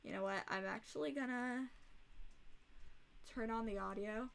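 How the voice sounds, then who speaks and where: A young woman livestreaming with a rough, hoarse voice, chatting casually and bluntly, swearing freely. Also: a little high, a little slow.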